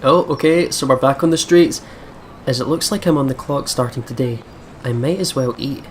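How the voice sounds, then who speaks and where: A man's voice: medium pitch, normal speed. Man doing game commentary over noticeable noise. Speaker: let's play host. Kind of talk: game commentary, reading story text aloud. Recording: clearly noisy background.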